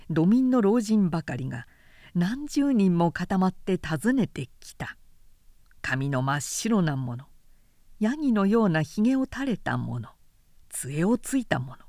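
A woman narrating a literary audiobook as a professional, with measured, carefully articulated delivery. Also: lowish voice, warm tone, no echo, studio quality.

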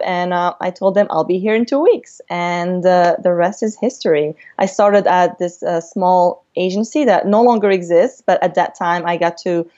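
Woman from Morocco, creative director guest; lower voice, earnest, filler-heavy, muffled lo-fi recording. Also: a little mellow. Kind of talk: interview storytelling.

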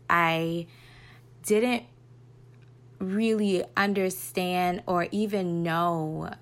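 A woman of thirty from Baltimore, sending a reflective, earnest voice note to a podcast. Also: lowish voice. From Baltimore, Maryland. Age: 30s. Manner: reflective, earnest, soft at times. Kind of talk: personal voice memo.

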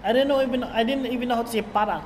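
High-voiced young Filipino man, reverberant room. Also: rapid-fire, a little mellow. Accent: blended international English. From Filipino raised in Saudi Arabia. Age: young adult. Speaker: podcast guest, YouTuber. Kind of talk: casual chat. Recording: long hall reverb, faint background noise.

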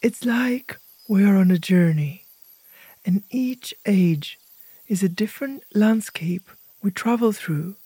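A woman narrating a guided imagery meditation as host, speaking gently in short, hushed phrases with pauses. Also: medium pitch, breathy edge, no room sound.

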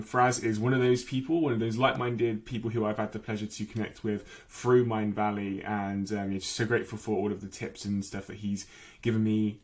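A man hosting a podcast in a chatty, conversational way, feeling out his phrasing as he goes. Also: lowish voice. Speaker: podcast host.

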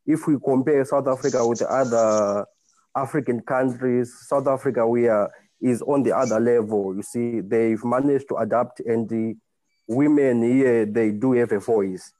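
A man with a Zimbabwean accent giving his view in an online discussion, speaking deliberately with hesitant pauses. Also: medium pitch, steady tone, mellow, no room sound, rough recording. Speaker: participant in a group discussion. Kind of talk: spoken contribution to an online panel discussion.

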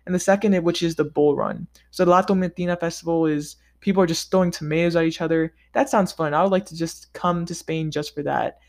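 A man vlogging in a casual, upbeat monologue, chatty and easygoing with relaxed slang. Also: a little high. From United States. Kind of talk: casual scripted monologue ranking countries.

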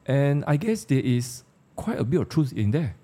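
A man's voice: medium pitch, big pitch swings, normal speed, mellow. A man with a Malaysian accent hosting a casual panel discussion, conversational and putting questions to his co-panelists. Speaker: discussion host.

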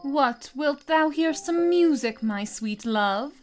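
A woman plays an enchanted fairy queen in a Shakespeare radio drama. The voice is high and unhurried, shaping the verse lyrically with royal feeling. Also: lively intonation, faint music behind. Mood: doting. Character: doting, regal fairy queen under a love spell. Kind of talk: amateur Shakespeare radio play acting.

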